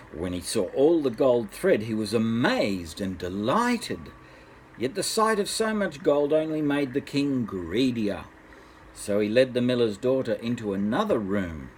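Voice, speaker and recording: An older man, a grandfather, narrating a children's bedtime story in an unhurried, expressive way. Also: medium pitch, slight background noise.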